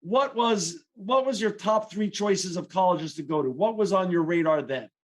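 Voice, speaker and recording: A man's voice: high voice, moderate pace. A man hosting an interview podcast, asking eager questions with frequent restarts. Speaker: podcast host.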